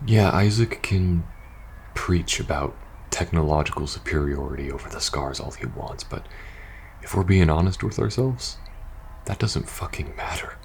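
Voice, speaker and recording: A man playing a weary, worried young soldier in an intimate ASMR roleplay, speaking softly and hesitantly. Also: low-pitched, vocal fry, a little hiss or hum. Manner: hesitant, halting, sighing between phrases. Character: weary, worried young male soldier in post-apocalyptic faction.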